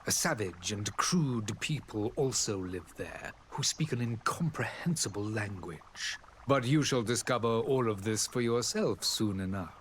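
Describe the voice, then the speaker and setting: An actor playing a dignified, courteous diplomat in a role-playing game, speaking formally and eloquently. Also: male voice, on the low side, animated, measured pace, few pauses, a little hiss or hum. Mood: marvelling. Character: dignified male diplomat of a foreign alliance, proud and courteous.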